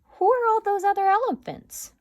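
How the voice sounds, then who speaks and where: A woman voicing a gentle, curious young elephant in a storybook reading. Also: extremely high pitch, normal speed, no room sound, clean studio sound, voice pushed up.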